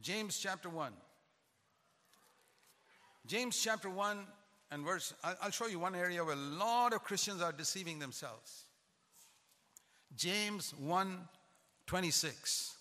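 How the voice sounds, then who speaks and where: A man's voice: high voice, slow, pauses often, sharp tone, long reverb tail. A man who is a pastor, preaching a sermon with emphatic, repetitive cadence.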